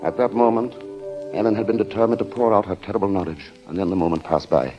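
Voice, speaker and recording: An actor playing a grave storyteller, narrating a suspense tale in formal, measured old-time radio style. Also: male voice, on the low side, steady tone, natural voice. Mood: grave, ominous. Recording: muffled old radio recording, music behind.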